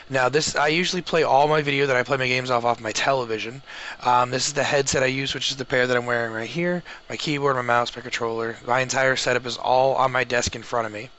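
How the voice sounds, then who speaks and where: A man's voice: mid-range voice, pushed and bright. A man gives an informal how-to tutorial, explaining things step by step in a casual way. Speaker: tutorial host, gamer and streamer.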